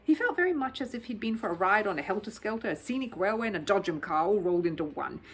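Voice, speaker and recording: A woman narrates a children's audiobook with animated, dramatic expression. Her pitch rises and falls widely, and her voice has a faintly husky texture. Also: fast talker, poor audio. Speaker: storyteller.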